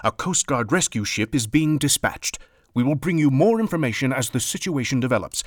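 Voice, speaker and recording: An actor playing a formal, sober 1930s radio news announcer reading a bulletin. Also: male voice, medium pitch, animated, fairly quick, no room sound, clean studio sound, close to an everyday voice.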